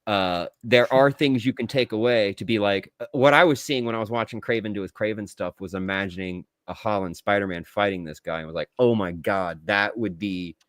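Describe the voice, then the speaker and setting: A man co-hosting a casual movie review podcast, talking in an excitable, blunt way with plenty of swearing. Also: fairly low pitch, a little fast, few pauses, no echo, studio quality. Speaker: podcast co-host.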